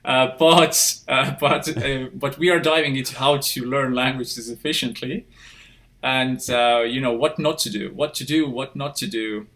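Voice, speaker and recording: A man's voice: mid-range voice, steady tone, a little slow, fairly bright tone. A man hosting a podcast interview, talking in a chatty way with lots of fillers and restarts. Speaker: podcast host.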